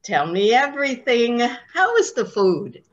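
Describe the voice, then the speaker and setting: An eager, loving old grandmother acted by a woman in a comic play reading. She speaks slowly with very lively swings of pitch and coaxes fondly. The sound is a little dull, like a video call. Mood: coaxing. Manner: affectionate, coaxing, uses Yiddish pet names.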